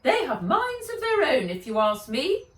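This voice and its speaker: A woman acts out a haughty storybook grumbler, indignant and emphatic. The voice jumps up high and loud, ringing in an echoey room. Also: huge pitch swings, a little slow, full character voice. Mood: indignant grumbling. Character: haughty, grumbling, complaining companion named Fred. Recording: echoey room, distant from mic.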